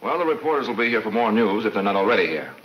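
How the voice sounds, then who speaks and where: A man's voice: lowish voice, big pitch swings, rapid-fire, dull audio, slight background noise. An actor playing a tough, determined lawman in a 1940s crime serial, clipped and decisive. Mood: confident. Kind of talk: 1940s movie serial acting. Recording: 1940s film soundtrack, faint music.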